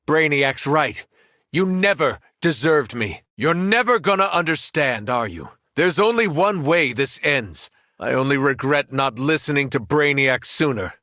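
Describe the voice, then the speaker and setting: An actor playing a powerful, cruel superhero turned villain in a video game, arrogant and taunting. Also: male voice, on the high side, animated, a little slow, bright, forceful tone, dry recording, clean studio sound. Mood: arrogant contempt. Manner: taunting, menacing, condescending.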